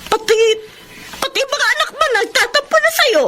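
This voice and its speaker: A scolding elder sister. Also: female voice, very high-pitched, animated, moderate pace, pushed and bright, a little hiss or hum, heavily acted. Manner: scolding, insistent, emotional. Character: outspoken older sister, worried and scolding. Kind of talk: radio drama acting.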